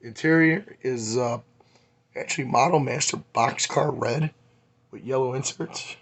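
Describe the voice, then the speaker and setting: A man, hoarse and losing his voice, giving a rambling, enthusiastic hobby update on his model car builds. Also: medium pitch, measured pace. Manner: rambling, enthusiastic, many fillers.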